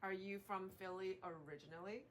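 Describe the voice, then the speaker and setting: A woman's voice: mid-range voice, fairly even intonation, brisk. A woman asking short interview questions from a little way off the microphone. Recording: distant voice with background noise.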